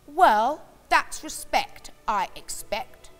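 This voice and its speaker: A woman's voice: on the high side, very animated, very slow, fairly bright tone, in a large hall, soft background music, exaggerated voice. A woman voicing a boastful, foolish male china clown from a storybook, teasing in exaggerated rhyme. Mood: cheeky. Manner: teasing, exaggerated rhyming delivery.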